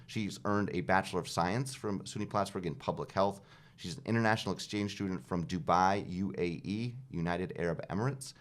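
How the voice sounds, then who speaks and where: A man hosting a studio radio interview, asking questions in a friendly, halting way. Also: sits low. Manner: friendly, halting, lots of fillers and restarts. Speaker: host.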